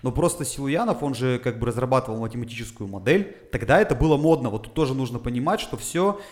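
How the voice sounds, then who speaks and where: A man's voice: mid-range voice, lively intonation, fast. A man, a fitness coach, talking casually on a podcast, explaining things step by step with frequent "uh" fillers. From Urals, Russia. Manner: methodical explainer with filler sounds.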